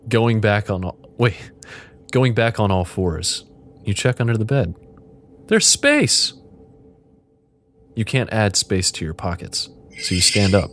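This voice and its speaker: A man's voice: on the low side, very animated, slow, pauses often, a bit bright. A man acting as game master, narrating improvised interactive fiction in a playful, joking way.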